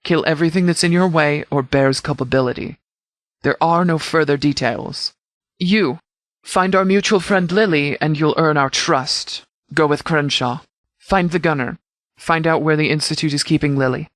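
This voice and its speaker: An actor playing a commanding post-apocalyptic sheriff in a video game, speaking in a clipped, formal, authoritative way. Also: male voice, a little high, normal speed, fairly bright tone, dry recording, lightly acted. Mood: urgent, commanding.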